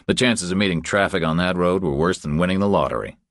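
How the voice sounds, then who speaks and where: A man's voice: low-pitched, fast talker, a bit bright, no echo, studio quality. A man reading a scripted thriller narration as an audiobook narrator.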